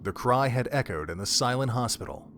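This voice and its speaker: A man's voice: medium pitch, lively intonation, brisk, fairly bright tone. A man narrating a dramatic story from a script, as the narrator of an audio drama.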